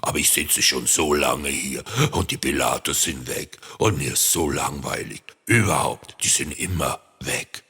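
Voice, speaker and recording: Man doing a gravelly, blustering storybook monster. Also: extremely low pitch, unhurried, hardly any pauses, bright, forceful tone, heavily acted. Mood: sulky, bored. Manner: blustering, stuttering, sulky. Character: eerie, smelly, lonely male monster guarding a chest. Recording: long reverb.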